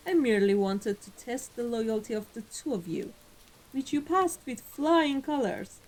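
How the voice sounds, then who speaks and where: A woman playing an amused, ruthless vampire mafia boss in a scripted ASMR roleplay, speaking in a deliberate, theatrical, condescending way. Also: fairly high pitch, animated, a little mellow, poor audio. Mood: pleased, commanding. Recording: voice over background music.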